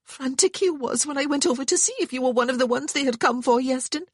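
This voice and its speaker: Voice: fast talker, no echo, studio quality. Narrator doing a trembling, tearful Welsh young woman. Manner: trembling, high, pleading.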